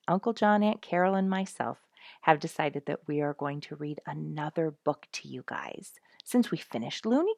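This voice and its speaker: A woman's voice: sits low, a little mellow, no echo, studio quality. A woman hosting a story reading, narrating a children's book expressively with dramatic emphasis on key words. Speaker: host reading a book aloud to listeners.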